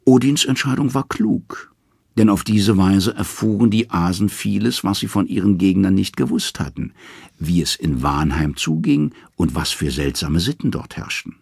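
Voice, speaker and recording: A man narrating mythological tales from a script in a measured, dramatic storytelling manner. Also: low voice, lively intonation, creaky, a little mellow, husky, no room sound, clean studio sound.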